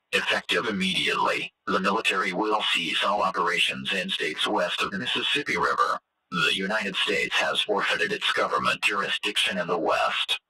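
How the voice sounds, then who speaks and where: A man's voice: deep voice, pushed and bright, exaggerated voice. A man playing a grim, cold government emergency announcer, formal and solemn, in a scripted broadcast with a radio filter. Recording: harsh, distant radio-style broadcast sound.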